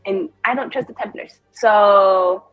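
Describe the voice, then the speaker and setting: A woman's voice: medium pitch, a little slow, dry recording, muffled recording. A woman playing a tabletop roleplaying game, improvising in character in a playful, informal way. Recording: background music.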